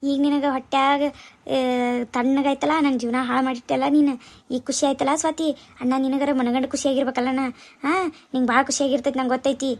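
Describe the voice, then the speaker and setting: A woman performs a distraught young wife in a family melodrama. Her voice is high and keeps to a narrow range. She laments and accuses in a North Karnataka accent. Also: little pitch movement, quick pace. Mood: distraught accusing. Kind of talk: melodramatic family drama acting.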